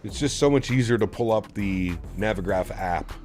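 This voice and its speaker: A man's voice: lowish voice, normal speed, darkish tone. Man, casual livestream chat, chummy, flight sim streamer, faint music behind. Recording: music in the background. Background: background music.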